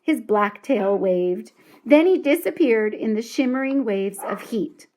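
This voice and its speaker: Slow-paced woman reading a children's story. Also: fairly high pitch, lively intonation, a little mellow, low-quality recording. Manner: deliberate, dramatic storytelling for children. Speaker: teacher reading a children's book aloud. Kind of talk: expressive read-aloud story narration.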